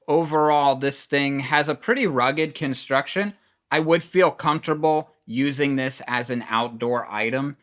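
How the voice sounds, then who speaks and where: A man's voice: medium pitch, pushed and bright. Plain-spoken man reviewing a product. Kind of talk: casual product review demonstration.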